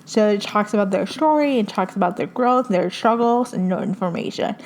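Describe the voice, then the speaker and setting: A young woman from hosts a casual podcast, her Spanish. She talks eagerly, full of fillers and little restarts, at an ordinary mid pitch for a woman. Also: a little fast, a little mellow. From Puerto Rico. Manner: enthusiastic, chatty, many fillers. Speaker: podcast host. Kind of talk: casual book recommendations.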